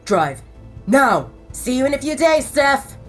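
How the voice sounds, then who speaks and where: A woman's voice: sits high, sing-song, takes it slowly, faint music behind, voice pushed up, heavily acted. A woman voicing a cheeky, impatient young male werewolf in an audio drama. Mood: urgent, breezy.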